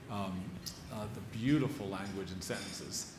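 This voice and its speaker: Man, event moderator, lowish voice, halting deliberate delivery, echoey venue, clear background noise. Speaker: moderator interviewing an author on stage. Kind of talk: live onstage interview.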